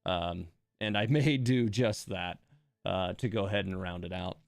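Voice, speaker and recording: Male game streamer, clear smooth voice, casual and exasperated, muttering asides to chat. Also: low-pitched, steady tone, measured pace, dry recording, clean studio sound. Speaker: livestream host playing a gacha game. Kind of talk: casual livestream chat and commentary.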